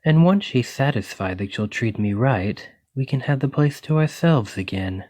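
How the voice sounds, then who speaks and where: A man playing a gentle, bookish dragon-blooded librarian and devoted boyfriend in an ASMR roleplay, speaking in an earnest, reassuring way. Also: medium pitch, expressive, moderate pace, natural voice. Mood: affectionate, sheepish.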